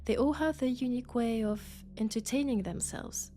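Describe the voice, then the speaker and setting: A woman with a French accent, sick with a cold, playing a gentle, sociable traveling doctor from Mars in an intimate sci-fi audio roleplay, chatty and soft. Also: a little high, normal speed, faint music behind, close to an everyday voice. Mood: chatty, amused. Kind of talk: intimate sci-fi roleplay acting, one-sided conversation.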